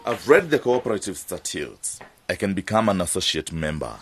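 An actor playing an earnest, persuasive farm owner with a husky voice in a radio drama. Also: male voice, low voice, big pitch swings, moderate pace, slight background noise. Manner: insistent, persuasive. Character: earnest farm owner and peanut producer bargaining for help.

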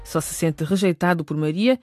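A woman's voice: on the low side, normal speed, darkish tone, close to an everyday voice. A woman narrating a radio drama in a serious, scripted storytelling style. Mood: measured.

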